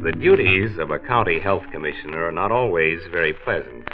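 An actor with an American accent playing a measured county health doctor, narrating a late 1940s radio drama. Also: male voice, deep voice, expressive. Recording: dull old radio transcription, music behind.

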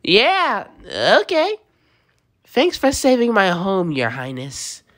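A woman voicing an excitable, friendly young female lemur villager in an amateur comic dub, bubbly and eager. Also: medium pitch, slow pace, pitched above the performer's own voice. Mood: grateful.

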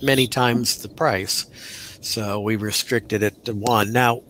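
A man's voice: lowish voice, fairly even intonation, takes it slowly, a bit bright, a little hiss or hum. A middle-aged man, a county extension program manager, talking casually over a headset on a video call with dry, easygoing humor. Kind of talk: casual live-stream chat.

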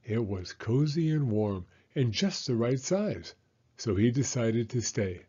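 A man reading a children's picture book aloud as a storyteller, in an expressive, deliberate manner. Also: medium pitch, husky, lo-fi sound.

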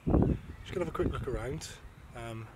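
A young man filming an abandoned building on a handheld camera, talking casually and in hushed asides as he walks through. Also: medium pitch, normal speed, recorded from a distance. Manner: hushed, muttered asides while exploring. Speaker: urban explorer vlogger. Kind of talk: casual walkthrough commentary. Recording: echoey rooms, voice off-mic, loud noise.

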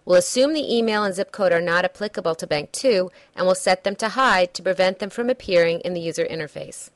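A woman reading a scripted software demo narration in a measured, instructional way. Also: mid-range voice, no echo.

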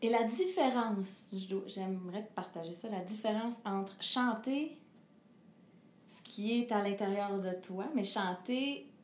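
A woman's voice: medium pitch, unhurried. A middle-aged woman with a Québécois French accent, a singer speaking as an interview guest, earnest and reflective, hesitating often and laughing as she talks. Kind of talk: candid personal conversation. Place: living room with a fireplace, indoors. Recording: echoey room with faint hiss.

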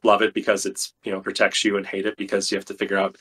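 A man, a software engineer guest, explaining technical details thoughtfully with many fillers, his voice getting tired and worn. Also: sits low, completely flat delivery, fast talker, husky. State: losing his voice. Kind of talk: technical interview talk.

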